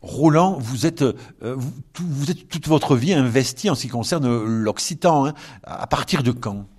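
A man's voice: mid-range voice, animated, normal speed, darkish tone, slightly rough. A male interviewer asking questions in a hesitant way, with frequent fillers.

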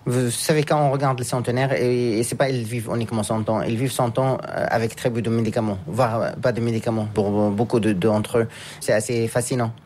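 A man from France, raised in Japan, answering interview questions in a halting way as he searches for words. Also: medium pitch, steady tone, a little mellow, slight background noise. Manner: halting, searching for words, with frequent pauses and restarts. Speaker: guest, scientist and businessman.

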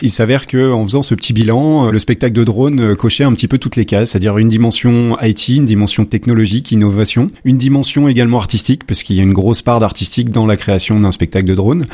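A French man in his forties, a company founder answering interview questions in a measured way with many hesitations. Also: on the low side, a little mellow. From France. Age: 40s.

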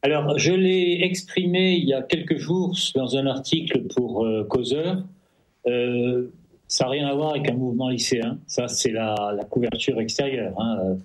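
A man's voice: mid-range voice, normal speed, low-quality recording. A man giving blunt, categorical answers as a guest in a radio interview. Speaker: interview guest, teacher and essayist.

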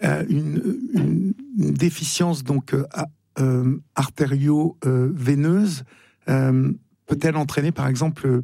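A man hosting a call-in radio show, unhurried and hesitant with frequent fillers. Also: fairly high pitch, steady tone, vocal fry, warm tone, dry recording, studio quality. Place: radio studio.

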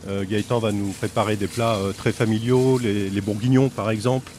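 A man's voice: fairly low pitch, fairly even intonation, moderate pace, a little mellow, slight background noise. A middle-aged French man who owns a café, chatting casually in an interview with many fillers and restarts. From France. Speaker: café owner.

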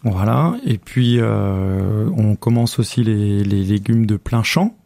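Gravelly, easygoing male radio host. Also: fairly low pitch, steady tone, slow pace, dark tone. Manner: easygoing, guiding with short prompts. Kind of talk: hosting a radio show. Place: radio studio. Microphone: studio microphone.

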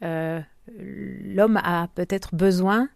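A woman interviewing an author on the radio, asking brisk, leading questions. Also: medium pitch, fairly even intonation, vocal fry, a little mellow, dry recording, clean studio sound.

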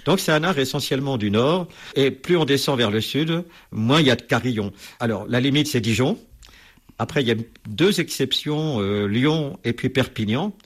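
A man's voice: medium pitch, animated, normal speed, husky. A man being interviewed as a bell expert, explaining at length with many hesitations and restarts.

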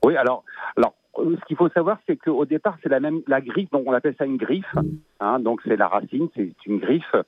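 A Belgian man in his fifties, a farmer guest on a radio show, talking haltingly with many fillers and restarts. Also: mid-range voice, animated, dry recording. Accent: Belgian French. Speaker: asparagus grower guest. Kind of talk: radio interview, casual chat. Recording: tinny phone line.

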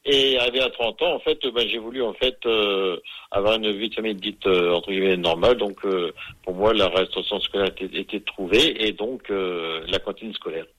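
A man from northern France, a chef interviewed on the radio, speaking haltingly with many fillers. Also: lowish voice, fairly bright tone, no echo, rough recording. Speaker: school chef, guest.

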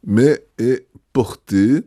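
A man, a bishop answering interview questions in a measured way, pausing often with fillers and restarts. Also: mid-range voice, dark tone, no echo, studio quality.

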